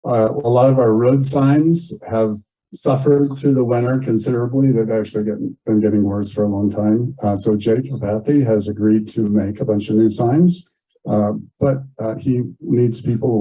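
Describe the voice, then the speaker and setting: Husky, very mellow man addressing a meeting. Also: medium pitch, poor audio. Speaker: meeting chair, board speaker. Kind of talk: informal announcements to a community meeting.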